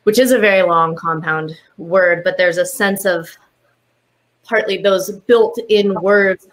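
A woman's voice: medium pitch, slow pace, fairly bright tone, poor audio. A woman translator musing on a video call panel, full of fillers and trailing thoughts. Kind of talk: reflective panel discussion.

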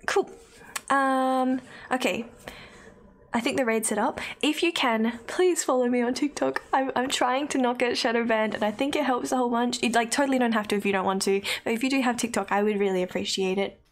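A woman's voice: on the high side, fairly quick. A young Australian woman streaming from her bedroom, chatting casually with viewers, giggling often and apologizing a lot. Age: young adult. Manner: chatty, giggly, apologetic, reads chat aloud. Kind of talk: casual chat with live chat viewers. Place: bedroom at home, indoors.

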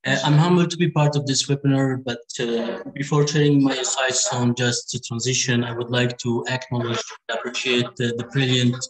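Man in his thirties, union expert, monotone, formal, office video call. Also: mid-range voice, normal speed, hardly any pauses, rough recording. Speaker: trade union international relations expert. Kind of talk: formal prepared remarks. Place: office, indoors.

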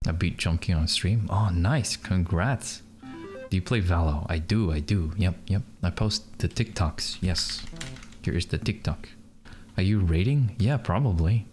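Young malaysian-born streamer guy, deep voice, laid-back chatter and laughs. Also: measured pace, a little mellow, faint music behind. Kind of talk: casual stream chat.

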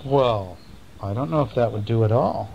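A man's voice: medium pitch, moderate pace, gravelly, muffled recording. A middle-aged man playfully telling a bedtime story to children, improvising and checking in with his listeners as he goes. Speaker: father reading bedtime stories to children. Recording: faint noise, homemade recording.

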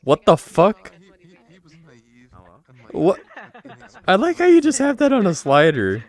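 A young man chatting casually while gaming, excitable and quick to swear. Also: high voice. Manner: excitable, profane. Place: virtual reality game voice chat.

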